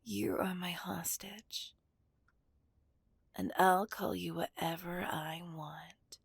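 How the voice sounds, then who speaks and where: A menacing female kidnapper , acted by a woman, whispers low and slow in an ASMR villain roleplay. She is possessive and taunting, giving orders in a breathy, crackling hush. The sound is dry and very close to the mic. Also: steady tone, dry recording, full character voice. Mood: smug, taunting. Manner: slow, commanding, mocking. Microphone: close microphone.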